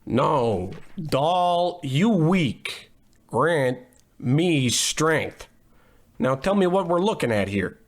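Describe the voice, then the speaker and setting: A man playing a cocky, dim-witted hard-boiled private detective in a noir radio comedy, deadpan and self-assured. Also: fairly high pitch, drawn-out words, exaggerated voice. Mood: cocky, obtuse.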